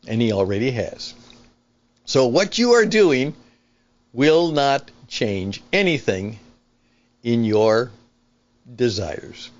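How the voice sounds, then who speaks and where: An older man hosting a talk show with a conversational opinion monologue, speaking in an emphatic, deliberate, explanatory way. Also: mid-range voice, dull audio. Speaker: podcast host and veteran broadcaster.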